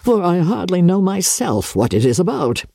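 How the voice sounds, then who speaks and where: A woman voicing a calm, resigned older gentleman father in a classic novel, measured and wry. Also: fairly low pitch, gravelly, slightly rough, dry recording, clean studio sound, full character voice.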